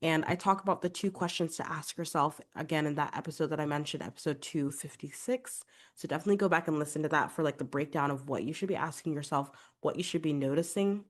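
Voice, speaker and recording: A woman's voice: sits low, fast. A young adult woman, a vocal coach, giving chatty, encouraging podcast advice with a congested voice. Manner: chatty, encouraging, fillers like "like" and "right?".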